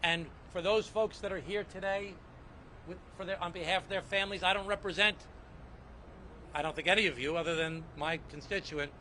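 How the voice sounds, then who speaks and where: A man's voice: high voice, steady tone, fairly quick, pushed and bright, slight background noise. A man, a congressman, giving assured remarks at an outdoor press event. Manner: assured, promising action. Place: outdoors on a city street.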